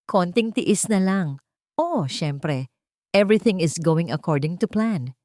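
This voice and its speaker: A woman's voice: lowish voice, lively intonation, slow, a little mellow, dry recording, clean studio sound. A woman with a Filipino accent voicing a deceitful, dismissive businessman husband in an audio love story, coolly reassuring. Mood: secretive, reassuring.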